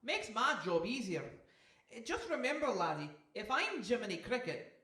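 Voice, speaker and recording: A woman voicing a wry, nagging seagull in a live radio play, with squawky, drawn-out interjections. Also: fairly high pitch, big pitch swings, a bit bright. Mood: smug advising. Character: nagging, wry talking seagull, the fisherman's advisor. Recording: echoey hall, distant microphone.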